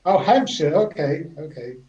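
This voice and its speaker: Guest, male, southern English accent, fairly high yet mellow voice, hesitant self-mocking delivery, roomy echo. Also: lively intonation, moderate pace, distant mic, muffled recording. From south-east England. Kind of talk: casual chat.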